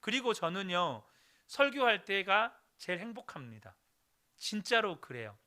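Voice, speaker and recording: A man's voice: sits high, sing-song, a little slow, bright, forceful tone. A man in his thirties, a pastor, preaching a sermon earnestly and addressing the congregation directly. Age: 30s. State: recovering throat, clears throat.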